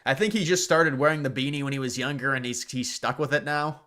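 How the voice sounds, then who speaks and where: Man, live stream host, casual commentary, halting, restarts sentences, repeats words. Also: mid-range voice, pushed and bright.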